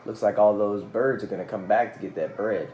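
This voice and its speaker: A man reading a children's story aloud in an expressive style with casual asides. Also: on the low side, fairly quick, a little hiss or hum. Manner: expressive, engaging listeners with questions. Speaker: storybook reader.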